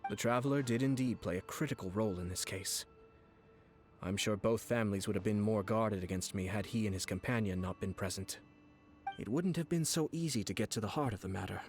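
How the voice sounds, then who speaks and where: A man voicing a young noble commissioner who speaks in a measured, courteous, formal way. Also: lowish voice, faint music behind, lightly acted. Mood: polite. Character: young male noble commissioner, calm and cunning strategist. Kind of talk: video game voice acting.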